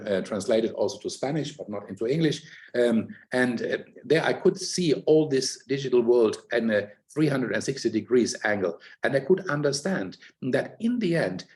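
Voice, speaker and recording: An older man speaking in a thoughtful, explanatory way, pausing often with fillers in a conversation. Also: mid-range voice, darkish tone. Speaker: academic guest in a conversation. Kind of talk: reflective conversational talk.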